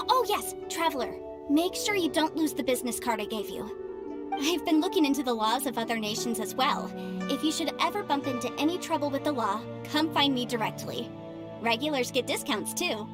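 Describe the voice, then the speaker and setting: A woman's voice: sits high, lively intonation, moderate pace, over loud music. An actress playing a chatty, rambunctious young female legal adviser in a video game, bubbly and glib. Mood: breezy.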